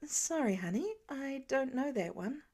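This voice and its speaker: A woman voicing a patient, caring mother with a gentle, reassuring manner in a story reading. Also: high voice, expressive, measured pace, husky, exaggerated voice. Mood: apologetic, explaining. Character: patient, caring mother of young and teenage children. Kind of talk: audiobook character voice.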